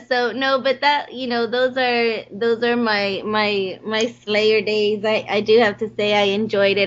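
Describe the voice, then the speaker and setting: A woman's voice: mid-range voice, a bit bright. Chatty Texan woman, lo-fi podcast. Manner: chatty, laughs often, many fillers. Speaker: voice actress guest. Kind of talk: casual interview chat. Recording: dull lo-fi podcast audio.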